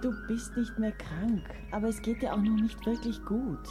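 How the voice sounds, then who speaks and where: A woman's voice: a little high, normal speed, lightly acted. A woman acting a devoted, burdened writer caring for her ailing lover in a German radio play, grave and literary in delivery. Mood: soothing, concerned. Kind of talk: German audio drama acting, literary monologue. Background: music.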